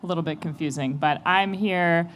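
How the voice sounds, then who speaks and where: Low-voiced American woman on a panel. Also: moderate pace, a bit bright, a little hiss or hum. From United States. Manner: chatty, self-deprecating, laughs between thoughts. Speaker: panelist, venture investor. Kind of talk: panel discussion.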